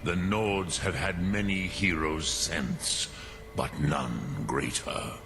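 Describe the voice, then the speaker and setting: Very deep, gravelly ancient dragon. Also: male voice, steady tone, full character voice. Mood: solemn, reflective. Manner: measured, solemn, philosophical, weighing each phrase. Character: ancient wise male dragon, slow, gentle and contemplative. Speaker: mentor, master of an order. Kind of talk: fantasy video game character dialogue. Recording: game music behind the voice.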